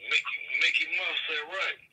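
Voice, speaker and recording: A man's voice: fairly high pitch, fairly even intonation, normal speed, pushed and bright, slightly rough, poor audio. A man hosting a casual phone chat, loose and profane, with many "you know" fillers.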